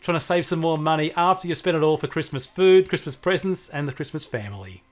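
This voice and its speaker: Higher-voiced Australian man explaining tech. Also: quick pace. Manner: opinionated, plain-spoken. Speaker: technology show co-host and expert. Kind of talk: casual explanatory tech talk.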